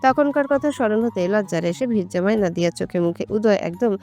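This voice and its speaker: A woman's voice: medium pitch, fairly quick, a little mellow, soft background music. A woman storyteller reading a romantic story as scripted, dramatic narration.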